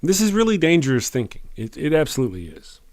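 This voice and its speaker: A man's voice: on the high side, wildly swooping pitch, darkish tone, no echo. Texan man hosting, dry close sound. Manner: earnest, plain-spoken, halting. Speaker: live stream host. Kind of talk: casual commentary.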